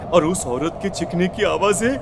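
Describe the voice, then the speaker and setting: A man's voice: high-pitched, normal speed. A man playing a nervy village farmer in a horror audio story, speaking in urgent exclamations. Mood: alarmed, urgent. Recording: clearly noisy background.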